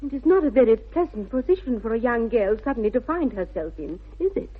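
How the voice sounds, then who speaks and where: An actress playing a spirited, prim young upper-class English lady in a 1960s radio comedy, crisply and pointedly polite. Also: a little high, big pitch swings, fast. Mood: prim, formal. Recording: thin, phone-like old radio recording.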